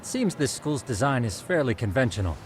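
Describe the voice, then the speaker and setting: A man playing a polite, meticulous, quietly smug tech investigator in a video game dub, with formal, precise, wordy speech. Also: medium pitch, very animated, a little fast, slight background noise, lightly acted. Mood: formal, brisk.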